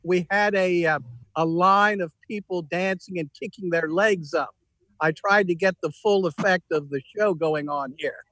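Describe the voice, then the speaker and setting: A man's voice: sits high, unhurried, sharp tone, dry recording, rough recording. A man chatting casually on a video call, repeating words as he goes. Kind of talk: casual chat.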